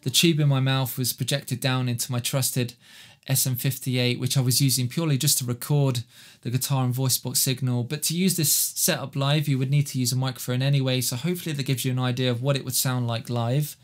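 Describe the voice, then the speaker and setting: A man's voice: medium pitch, fairly even intonation, brisk. A man presenting and explaining guitar effects in a casual, instructional video style.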